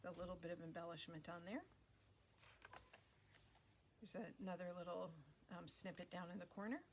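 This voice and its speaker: Female craft host, casual unhurried narration, slightly low pitch, lots of pauses. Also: a little hiss or hum. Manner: relaxed, many fillers and pauses. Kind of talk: casual flip-through narration.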